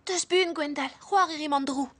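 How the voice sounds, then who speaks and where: A woman's voice: sits high, unhurried. A woman voicing a caring, spirited little girl in a children's cartoon, speaking earnestly and expressively. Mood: worried. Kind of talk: dubbed children's cartoon acting. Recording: loud music under the voice.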